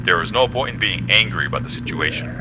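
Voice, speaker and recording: A man's voice: deep voice, expressive, quick pace, background noise. A man playing a blunt, commanding, hard-nosed military general in an audio drama. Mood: angry, stern.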